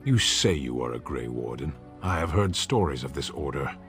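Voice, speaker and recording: An actor playing a stern, skeptical warrior in a video game, with a blunt, measured delivery. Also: male voice, very deep voice, expressive, soft background music.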